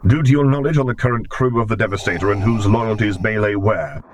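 A man voicing a menacing dark armored lord in an audiobook, with a low growling rumble and slow, clipped, imperious delivery. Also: natural voice. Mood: commanding. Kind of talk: audiobook character voice.

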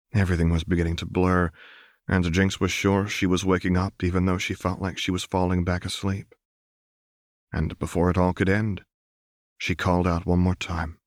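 A man reading fiction aloud as an audiobook narrator, in a measured, subdued way into a close mic. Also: sits low, no echo.

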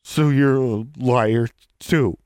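A man's voice: medium pitch, takes it slowly, a little mellow, no echo, clean studio sound, voice pushed up, close to an everyday voice. A man voicing a cold, shivering teenage girl prisoner in an audiobook, stammering and indignant. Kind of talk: audiobook character voice.

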